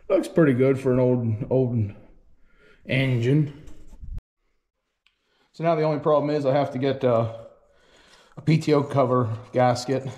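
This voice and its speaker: A man's voice: mid-range voice, a little mellow. Plain-spoken folksy farm guy. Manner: plain-spoken, folksy, thinking aloud with fillers. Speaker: farm vlogger. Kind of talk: casual vlog narration. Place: small farm, barn and workshop.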